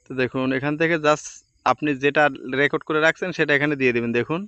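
A man's voice: on the high side, fast talker, sharp tone, smooth, no echo, highs cut off. A man presenting an informal step-by-step editing tutorial, with repetitive instructional phrasing.

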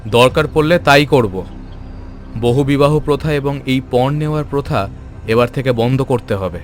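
A man's voice: medium pitch, moderate pace, faint music behind, natural voice. A man voicing a stern, righteous social reformer in a cartoon, with firm, declamatory delivery. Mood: stern, resolute. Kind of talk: dramatic cartoon voice acting.

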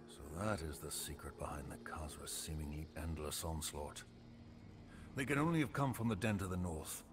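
A man's voice: extremely low pitch, a little hiss or hum. An actor playing a stern, weary male guard captain in a fantasy video game, with clipped military delivery. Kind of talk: dramatic scripted video game dialogue. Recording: faint game ambience behind the voice.

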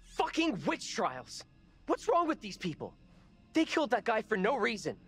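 A sarcastic rattled young man in a horror game, very lively pitch. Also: normal speed, breathy edge, soft background music, exaggerated voice. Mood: shaken, angry. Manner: sarcastic, blunt. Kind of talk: naturalistic horror game acting.

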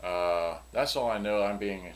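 Older man with low voice, rambling. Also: measured pace. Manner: rambling, offhand. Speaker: seller demonstrating used electronics. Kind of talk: casual product demonstration. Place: small cluttered indoor workshop. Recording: clearly noisy room, clicks of handled switches.

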